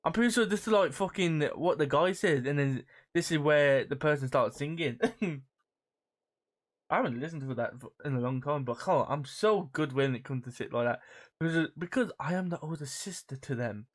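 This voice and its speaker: Expressive woman streamer reading game text. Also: low-pitched, moderate pace. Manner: expressive, sweary, playful. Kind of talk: let's play, reading game text aloud with casual commentary.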